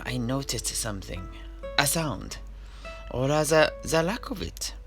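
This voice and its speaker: A man's voice: medium pitch, bright, forceful tone, full character voice. A man playing a jovial, tippling fantasy tavern keeper, telling stories in character in a hammy, hesitant, musing way. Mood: genial storytelling. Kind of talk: in-character theatrical fantasy storytelling. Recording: music behind the voice.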